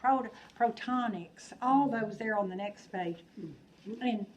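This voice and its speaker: A woman instructor lecturing a class, thinking aloud with frequent pauses and restarts. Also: a little high. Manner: unhurried, thinking aloud, hesitant. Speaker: nursing instructor.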